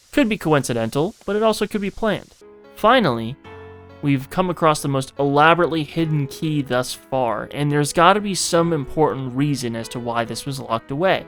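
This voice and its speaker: A man's voice: fairly high pitch, fairly bright tone. A man narrating a video game playthrough in a dramatized, scripted way, with casual, profane, jokey asides to the viewer. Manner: theatrical, self-interrupting asides to the viewer.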